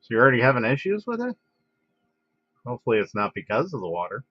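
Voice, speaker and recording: A man hosting a casual livestream, congested from a head cold, talking in a relaxed, halting way with lots of fillers and restarts. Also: medium pitch. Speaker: livestream host. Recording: dull, dry lo-fi home stream audio.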